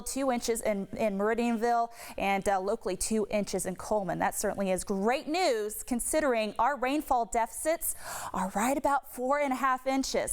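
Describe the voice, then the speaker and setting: A woman speaking as a TV meteorologist, giving an unscripted, emphatic and explanatory weather forecast. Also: on the high side. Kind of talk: ad-libbed weather forecast commentary.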